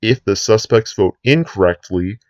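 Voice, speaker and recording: A man playing a sinister US president who gives a grave, deliberate address to the nation in an emergency broadcast drama. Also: on the low side, animated, no room sound, highs cut off, close to an everyday voice. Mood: grave, menacing. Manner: grave, deliberate speechmaking with drawn-out ominous phrases.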